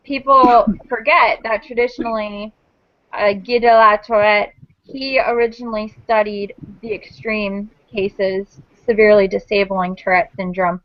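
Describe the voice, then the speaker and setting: A woman in her twenties leads a relaxed group conversation as host. She speaks in a steady, explanatory way, sometimes restarting her sentences. The sound is dull and dry. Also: mid-range voice, no echo. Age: 20s. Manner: measured, explanatory, with small restarts. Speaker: host of a panel discussion. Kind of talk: casual group video chat.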